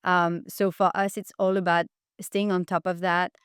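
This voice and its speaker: A woman answers interview questions in a conversational, explanatory way. Her voice sits slightly low and sounds clean and resonant, with very little room sound. She comes across as an enthusiastic executive guest. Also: little pitch movement, normal speed, clean tone, no room sound, clean studio sound. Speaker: podcast guest, marketing executive.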